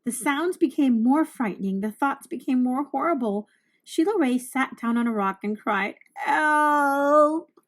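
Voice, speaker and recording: A woman reading a children's storybook aloud, narrating with deliberate, expressive phrasing for young listeners. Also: sits high.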